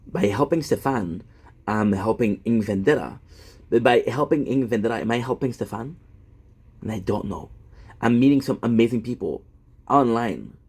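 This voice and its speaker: A man's voice: mid-range voice, expressive, normal speed, darkish tone, husky. A man in his thirties, a gaming content creator, talking in a rambling, self-deprecating monologue full of fillers and restarts. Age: 30s.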